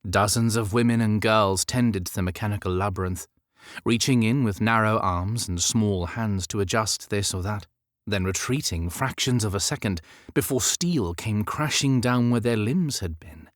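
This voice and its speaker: Low-voiced man narrating a novel, dry sound. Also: moderate pace, dry recording. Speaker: audiobook narrator. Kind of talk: scripted literary narration of a historical romance.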